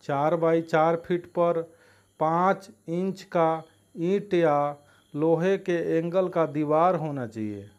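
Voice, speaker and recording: A man narrates a farming how-to at a slow pace. His voice sits a little high, and he speaks haltingly in short, separated phrases. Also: lo-fi sound. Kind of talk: instructional voice-over narration.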